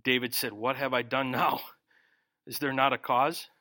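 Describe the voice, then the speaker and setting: A middle-aged man preaching a sermon, reading scripture and then commenting in an animated way, often acting out the voices he quotes. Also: medium pitch, normal speed. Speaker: pastor. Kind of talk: expository sermon.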